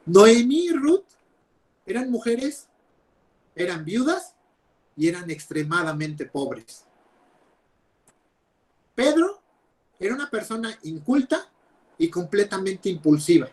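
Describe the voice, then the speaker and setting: A young man preaching a sermon over a video call, emphatic, with loud stresses and quiet drops. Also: high voice, very animated, slow pace, pauses often. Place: home, speaking over videoconference.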